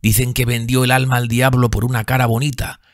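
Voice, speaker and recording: A man's voice: medium pitch, level tone, fairly quick, no room sound, clean studio sound, pitched above the performer's own voice, natural voice. A man voicing a haggard woman from a seedy bar who speaks in a mocking, bitter way.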